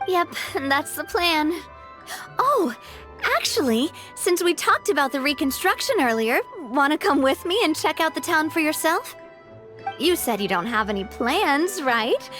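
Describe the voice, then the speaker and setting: Young female leader, high voice, lively melodic range, earnest, breezy, friendly, video game character, music behind. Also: unhurried, full character voice. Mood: casual, inviting.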